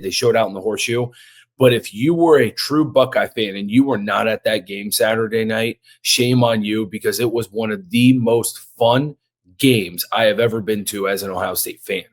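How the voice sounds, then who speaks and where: A man co-hosting a sports podcast, hoarse from yelling, talking in an excited, emphatic way. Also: lowish voice, fairly quick. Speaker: podcast co-host.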